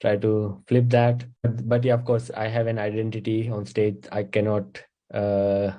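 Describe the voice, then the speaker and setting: A man's voice: fairly low pitch, normal speed. A young man from India with an Indian English accent, a stand-up comedian explaining his craft in a casual interview, step by step with many fillers. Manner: explains in steady steps, with frequent uh fillers.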